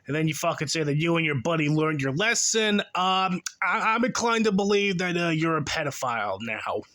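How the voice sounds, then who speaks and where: A man's voice: on the high side, expressive, normal speed, bright, forceful tone. A man in his twenties hosting a reaction video, giving sarcastic, exasperated commentary that mocks the person in the clip. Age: 20s. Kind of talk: mocking commentary over a clip.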